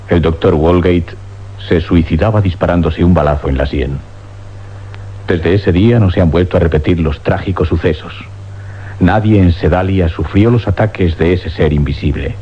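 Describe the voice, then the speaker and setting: An actor playing a grave police sergeant, solemnly and formally reciting a report in old radio drama style. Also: male voice, sits low, husky. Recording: dull old radio recording, faint music.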